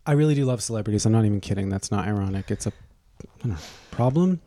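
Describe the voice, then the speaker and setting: A young man co-hosting a podcast, cracking snarky deadpan jokes in a casual chat. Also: lowish voice, fast, a little hiss or hum. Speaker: podcast co-host.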